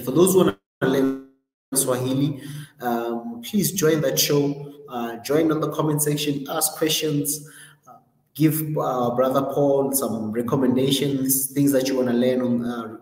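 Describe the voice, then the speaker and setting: A man's voice: mid-range voice, darkish tone. A man hosting a livestream, running through the week's schedule casually with lots of ums and self-corrections. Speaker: host. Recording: roomy echo with long reverb.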